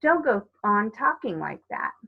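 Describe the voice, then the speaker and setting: A woman's voice: mid-range voice, sing-song, unhurried, gravelly, husky, narrow, tinny audio, exaggerated voice. Woman reading as a grumpy, snappish young schoolboy. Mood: bad-tempered. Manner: snappish, grumbling.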